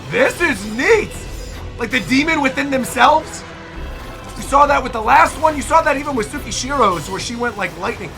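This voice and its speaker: A host giving casual, excitable reaction commentary on game trailers. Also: sits high, a little fast, soft background music. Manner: excitable, exclamatory.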